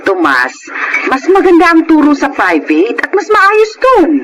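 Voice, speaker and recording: A woman's voice: high voice, wildly swooping pitch, unhurried, fairly bright tone, slight background noise, full character voice, low-quality recording. A woman with a Filipino accent playing a middle-aged, discontented, money-minded wife and mother in a dramatic audio play. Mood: insistent. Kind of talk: dramatic radio-style acting.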